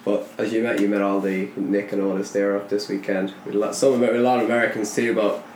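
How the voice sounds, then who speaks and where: A young man with a softened Irish accent, telling stories in a relaxed casual podcast chat. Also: lowish voice, quick pace. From Ireland. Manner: relaxed storytelling, light laughs. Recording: echoey room with faint noise.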